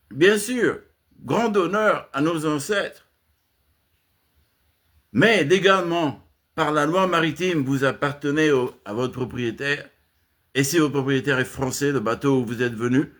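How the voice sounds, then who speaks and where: A man delivering an impassioned spoken rant to his channel, swinging from emphatic high outbursts to low muttered asides, with frequent restarts. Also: fairly bright tone. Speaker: channel host giving a monologue.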